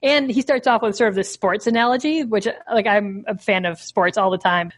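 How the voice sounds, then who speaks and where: A woman's voice: medium pitch, normal speed. A young woman hosting a podcast, chatting casually about a book with frequent filler words. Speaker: podcast host.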